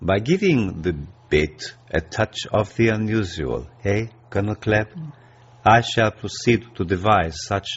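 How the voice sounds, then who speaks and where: Low, husky Soviet schemer. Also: male voice, very animated, lo-fi sound, heavily acted. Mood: cool, scheming. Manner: measured, precise, scheming. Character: coolly calculating male Soviet strategist, precise and confident. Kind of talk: radio drama acting.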